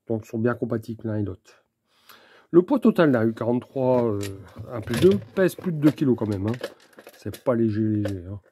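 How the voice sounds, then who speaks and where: A man presenting an unscripted technical demonstration, speaking methodically in short, halting phrases. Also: medium pitch. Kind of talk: unscripted hands-on explanation. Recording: clear background noise with clicks.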